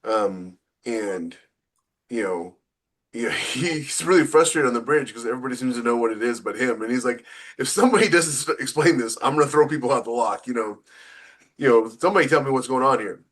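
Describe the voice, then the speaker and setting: A man's voice: mid-range voice, animated, fairly quick, fairly bright tone. A man co-hosting a podcast as a science fiction author, explaining things casually and peppering his talk with "you know.".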